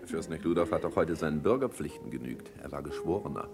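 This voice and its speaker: An actor playing a teasing, worldly, lightly mocking society gentleman in a German radio drama, urbane and ironic. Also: extremely low pitch, expressive, quick pace, vocal fry, over music, full character voice. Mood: amused, mocking.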